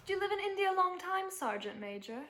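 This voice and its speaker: Young woman as animated, high-voiced mother. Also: fast, full character voice. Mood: curious. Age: young adult. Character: practical, teasing middle-aged wife and mother. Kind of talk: amateur high school stage acting. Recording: echoey room, faint noise.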